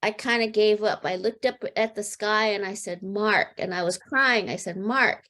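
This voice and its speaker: A middle-aged American woman, a podcast guest, telling a personal story in an earnest, halting way with many fillers and restarts. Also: medium pitch, bright, forceful tone. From United States. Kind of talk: casual storytelling.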